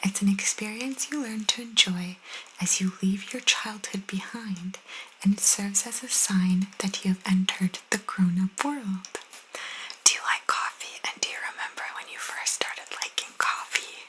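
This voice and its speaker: A young woman whispering softly and intimately as an ASMR host, reading and chatting casually close to the microphone. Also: medium pitch, normal speed, poor audio. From Italian cultural background. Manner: soft, intimate, chatty asides.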